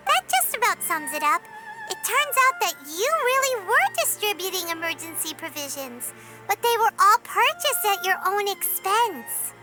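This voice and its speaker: A woman voicing a tiny, chirpy childlike companion in a video game, with a squeaky, expressive delivery. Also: normal speed. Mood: earnest, chatty. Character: tiny childlike floating companion, chirpy, talks in third person. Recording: loud music behind the voice.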